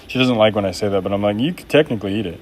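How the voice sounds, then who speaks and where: A man's voice: on the low side, a little hiss or hum. A man making knives during a live stream, chatting casually and reading viewer comments aloud in an upbeat, laid-back way.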